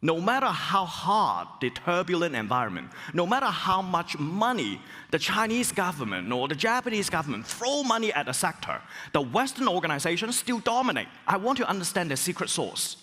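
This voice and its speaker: Middle-aged male professor from Hong Kong, keynote speaker; fairly high, swooping pitch; long hall echo. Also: brisk, few pauses. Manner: animated, rhetorical questions and exclamations. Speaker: business school professor. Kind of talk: conference keynote talk. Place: large conference hall.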